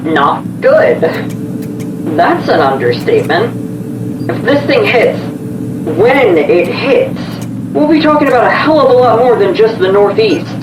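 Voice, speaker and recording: An actor playing an alarmed, sardonic government official in an audio drama, incredulous and emphatic. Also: male voice, high voice, animated, takes it slowly. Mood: urgent, grim. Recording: roomy, distant, dull, noisy vehicle ambience.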